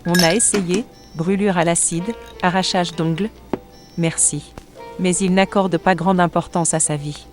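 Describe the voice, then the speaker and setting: Wartime radio drama with music: female actor as tough, familiar Resistance woman, lowish voice. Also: fairly even intonation, measured pace, natural voice. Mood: matter-of-fact. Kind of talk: wartime audio drama dialogue. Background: music behind the voice.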